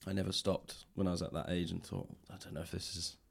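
A man's voice: sits low, level tone, quick pace, creaky, husky. A man interviewing in a casual, thoughtful, roundabout way as a podcast host. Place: library room.

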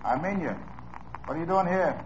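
An actor playing a police lieutenant in an old radio drama, questioning suspects in a brisk, clipped way. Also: male voice, a little high, animated, a little hiss or hum, close to an everyday voice. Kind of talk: 1950s radio drama acting. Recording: muffled old radio broadcast.

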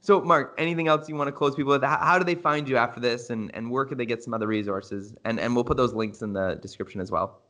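A man's voice: medium pitch, fast talker, few pauses, sharp tone. A man hosting a podcast interview, chatting casually and enthusiastically, often hedging with fillers. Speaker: podcast host.

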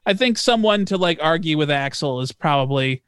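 A man with a high voice trades wry, joking asides on a casual music podcast. The sound is dry and close, with no room around it. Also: expressive, normal speed, a bit bright, smooth, no room sound. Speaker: podcast co-host.

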